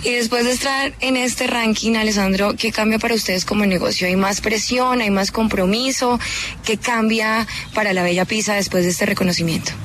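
A woman radio reporter speaking fluently as she presents a story and questions a guest. Her voice is mid-pitched and comes with clear background noise. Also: steady tone, moderate pace, fairly bright tone. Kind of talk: radio interview.

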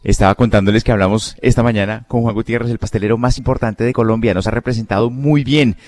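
A man co-hosting an interview who asks his questions in a measured, formal way. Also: fairly low pitch, steady tone, a little mellow. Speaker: co-host. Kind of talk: radio interview.

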